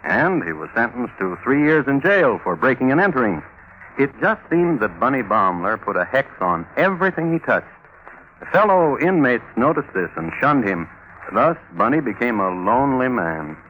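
An actor playing a wry, theatrical narrator of a comic hard-luck tale, speaking in clipped dramatic fragments. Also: male voice, medium pitch, big pitch swings, normal speed, dull audio, a little hiss or hum, lightly acted. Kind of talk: dramatic radio storytelling.

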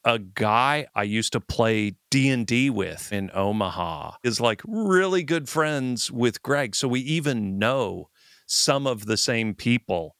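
Bright, clear middle-aged American man. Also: mid-range voice, animated, takes it slowly, dry recording, studio quality. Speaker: podcast co-host. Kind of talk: casual chat.

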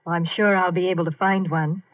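An actress playing an earnest, soft-hearted young woman in a 1950 radio mystery drama. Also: on the low side, fairly even intonation, fairly quick, natural voice. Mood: agreeable. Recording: muffled old 1950 radio broadcast.

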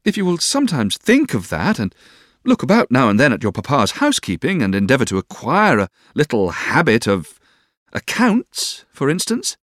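A man voicing an earnest, ardent young Victorian gentleman in love, tender and exhorting, for an audiobook. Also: medium pitch, wildly swooping pitch, normal speed, no room sound, studio quality, voice pushed up. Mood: fervent. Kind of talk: audiobook character voice.

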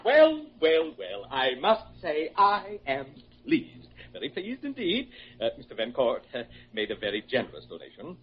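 An actor playing a fussy, pompous, self-pleased middle-aged hospital administrator who gushes and repeats himself, in old-time radio drama style. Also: male voice, mid-range voice, huge pitch swings, moderate pace. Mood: pleased, effusive. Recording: dull, noisy old radio recording.

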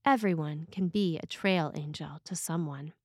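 A woman reading a scripted sermon as a preacher, in a measured, reflective way. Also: sits low, dry recording, studio quality.

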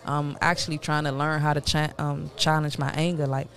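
A woman's voice: low voice, little pitch movement, brisk, a bit bright. A woman in her late twenties talking candidly and casually as a podcast guest, using street slang and repeating herself for emphasis. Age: late 20s, turning 30. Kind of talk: candid casual interview talk.

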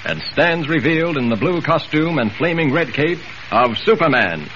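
A man's voice: a little high, lively intonation, moderate pace. A man narrating a 1940s radio serial in an urgent, theatrical style. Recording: noisy, muffled vintage radio recording.

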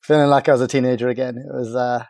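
A middle-aged man from talks through his story in a relaxed, stop-start way, his voice gravelly and mellow. He keeps an even, level tone and is heard close and dry. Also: mid-range voice, dry recording. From Ireland. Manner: halting, many restarts and fillers, relaxed. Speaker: podcast guest, agency founder. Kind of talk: casual interview chat.

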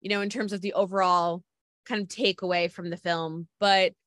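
A woman's voice: fairly low pitch, steady tone, moderate pace, fairly bright tone, dry recording, clean studio sound. A woman interviewer chatting casually in an informal, effusive way.

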